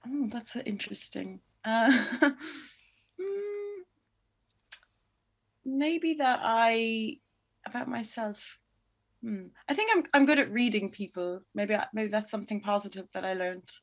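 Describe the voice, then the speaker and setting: A woman's voice: on the high side, slow pace, pauses often. A woman documentary director answering interview questions in a thoughtful, hesitant way, often restarting her sentences. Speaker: documentary film director, guest.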